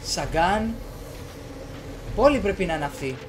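A young man, giving casual let's play commentary in an excitable way, talking to himself and repeating words rapidly. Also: sits high, background noise. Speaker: gaming YouTuber. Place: small room at home, indoors. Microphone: headset on, desktop USB condenser microphone. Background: horror game soundtrack and effects.

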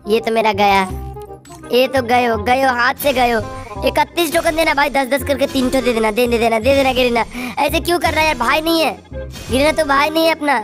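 A woman's voice run through a voice changer, hosting casual gameplay commentary, chatty and repeating phrases. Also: moderate pace. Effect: voice changer raising the pitch. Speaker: gaming video host. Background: background music.

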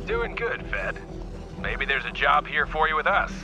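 An actor playing a cocky, greedy mercenary engineer in a video game, wry and sarcastic with a gravelly voice. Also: male voice, fairly high pitch, very animated, measured pace, music in the background. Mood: mocking.

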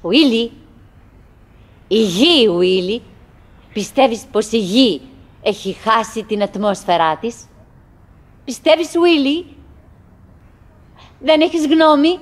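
An actress playing a wistful middle-aged woman who chatters bravely to her husband in halting, theatrical radio drama monologue. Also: a little high, lightly acted. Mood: insistent, calling. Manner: halting, fragmented, dramatic, swinging between murmurs and calls.

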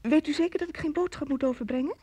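An actress playing a guarded wife on the telephone in a radio drama, husky, polite and hesitant. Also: female voice, high-pitched, fast, mellow, no echo, close to an everyday voice.

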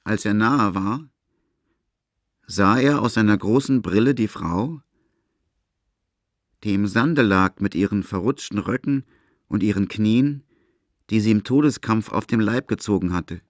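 A man's voice: fairly low pitch, measured pace, pauses often, no room sound, studio quality. A man narrating a literary story for a German audio drama as scripted prose.

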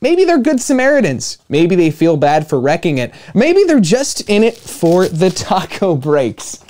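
A man in his twenties reading a picture book aloud to children as a storytime host, playful, with dramatic emphasis and pauses. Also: sits high, wildly swooping pitch, a little mellow, slight background noise. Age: 20s. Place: small indoor room with home studio gear.

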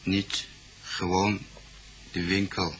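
An actor playing a simple, wary foreign man in a radio drama, answering in halting, broken phrases. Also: low voice, flat delivery, a bit bright, a little hiss or hum, rough recording. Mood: recounting.

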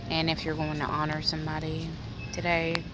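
A woman's voice: low voice, completely flat delivery, brisk. A woman vlogging as she walks through a large store, reading out sale prices in a chatty, practical way on her phone camera. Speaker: shopping vlogger. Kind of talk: walk-through store haul narration. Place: large indoor retail store. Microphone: handheld phone camera. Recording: store ambience noise behind. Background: store ambience.

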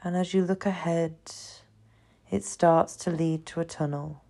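Slow, low-voiced woman guiding meditation. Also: steady tone, vocal fry. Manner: soothing, hushed, unhurried with long pauses. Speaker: meditation guide. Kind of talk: guided meditation, slow scripted narration.

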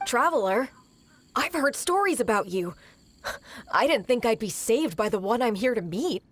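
A woman's voice: a little high, animated, normal speed, a little hiss or hum, natural voice. A woman voicing a frank young underworld informant in a video game, earnest, confiding and slightly dramatic. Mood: grateful, relieved.

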